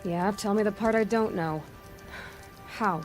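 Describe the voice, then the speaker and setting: A woman's voice: mid-range voice, measured pace, close to an everyday voice. An actress playing a headstrong, sardonic young woman warrior in a dramatic video game. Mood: questioning, wary. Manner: blunt, sardonic, questioning. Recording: loud music behind the voice.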